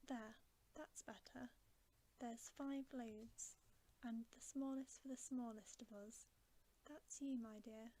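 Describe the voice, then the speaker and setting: A motherly, unflappable she-beaver from a storybook, whispered by a woman reader. She fusses in a breathy, homely way, slow and practical, with soft mellow tones. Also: fairly high pitch, fairly even intonation, low-quality recording. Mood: fussy, homely. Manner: coolly fussing, homely.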